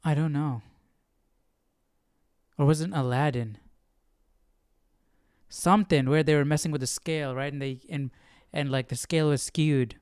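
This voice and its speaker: Clear-voiced man thinking aloud. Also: fairly high pitch, steady tone, measured pace, pauses often, a little mellow, no room sound, clean studio sound. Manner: thinking aloud, questioning himself. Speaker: host of a Bible study stream. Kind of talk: casual Bible study talk, reading aloud with commentary.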